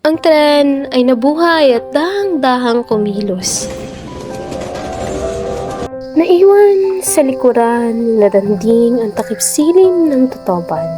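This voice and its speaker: A woman reading scripted story narration for a radio drama. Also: high-pitched. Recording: music playing loudly behind. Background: loud music.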